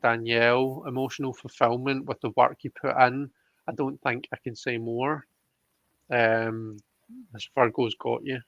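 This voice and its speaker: A man's voice: medium pitch, flat delivery, measured pace, a bit bright, no echo, highs cut off. A man hosting a casual live card reading, chatting with a guest and viewers and thinking aloud in a hesitant, repetitive way.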